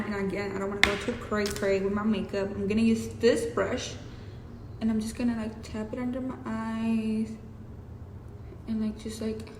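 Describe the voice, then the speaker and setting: A young woman with a Mexican accent doing a casual, profane makeup tutorial with chatty asides. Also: on the high side. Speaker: beauty vlogger. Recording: echoey room with hiss.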